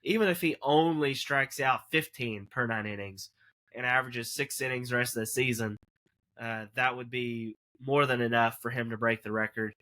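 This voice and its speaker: A man's voice: mid-range voice, steady tone, normal speed, pushed and bright. A man co-hosting a casual college sports podcast, rambling through numbers and correcting himself as he goes. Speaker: podcast co-host.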